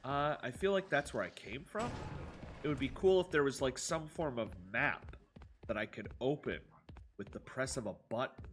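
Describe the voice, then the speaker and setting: Stuffed-up male streamer, bright voice. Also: medium pitch, lively intonation, moderate pace, slight background noise. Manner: sarcastic, rambling banter with chat. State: stuffed-up nose. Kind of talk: live gameplay stream, reading chat.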